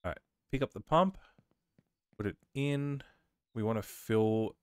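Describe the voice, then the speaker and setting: A man with a cold casually commentating his own gameplay, reading on-screen prompts aloud and thinking out loud as he plays. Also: on the low side, a little slow, clean tone, no room sound, studio quality. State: has a cold. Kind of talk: casual let's-play commentary.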